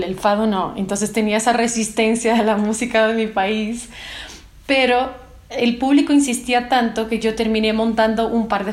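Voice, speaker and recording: A woman's voice: on the high side, reverberant. A woman from Portugal whose first language is Portuguese, a singer answering interview questions thoughtfully while searching for words. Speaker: singer and guest.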